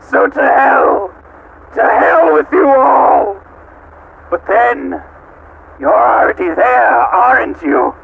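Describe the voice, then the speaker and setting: Slow, high-pitched, sadistic evil supercomputer. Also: male voice, expressive, creaky, full character voice. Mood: raging, manic, then mocking, accusing. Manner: theatrical, menacing, drawn-out words, swinging from hushed to shrieking. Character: sadistic, hate-consumed male supercomputer, mocking, theatrical, godlike. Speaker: amateur voice actor. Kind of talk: theatrical villain monologue reading. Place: at home. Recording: dull lo-fi home recording, faint noise.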